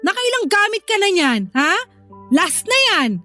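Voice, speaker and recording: Woman acting a high-pitched, nagging Filipino big sister. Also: very animated, measured pace, pushed and bright, exaggerated voice. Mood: exasperated, sarcastic. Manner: scolding, sarcastic. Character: strict, nagging, irritable older sister. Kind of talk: Filipino audio drama acting. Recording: music behind the voice. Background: music.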